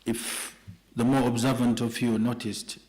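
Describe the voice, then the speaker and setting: A man's voice: medium pitch, little pitch movement, unhurried, darkish tone. A middle-aged man from Kenya, speaking as a respondent at an evening panel discussion in a joking, conversational way. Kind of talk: public talk at an evening panel.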